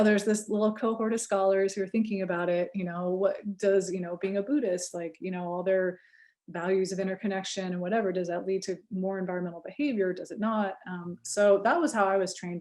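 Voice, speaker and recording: Interview guest: woman, Californian accent, lowish mellow voice, loose and chatty, full of fillers. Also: flat delivery, fast. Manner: chatty, many fillers and self-corrections, laughs easily. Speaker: guest researcher. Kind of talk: casual interview conversation.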